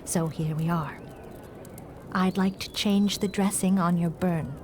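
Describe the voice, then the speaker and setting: A woman's voice: on the low side, steady tone, unhurried, a little mellow, slight background noise, natural voice. Polite, formal fantasy healer woman played by an actress. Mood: caring. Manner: polite, old-fashioned diction. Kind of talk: audio drama acting.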